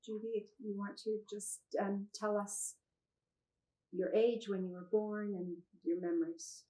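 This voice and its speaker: A woman interviewer leads a recorded oral history session. Her voice has a mellow, darker tone at a middle pitch, and she speaks in short, gentle prompting questions. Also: level tone, measured pace, rough recording. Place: indoors in Toronto.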